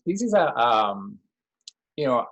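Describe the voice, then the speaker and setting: A man's voice: mid-range voice, big pitch swings, slow, vocal fry, a bit bright, slightly rough, dull audio. Reflective Guatemalan-born man, filler-heavy. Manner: reflective, many "you know" fillers and restarts. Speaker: design professor giving a talk. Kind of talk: personal presentation talk.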